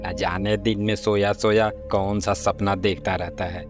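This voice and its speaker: A man plays a nagging master in an animated fable. The voice is on the low side and commanding, with music clearly playing behind it. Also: measured pace, close to an everyday voice. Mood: scolding. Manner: scolding, commanding. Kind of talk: children's animated moral story dialogue.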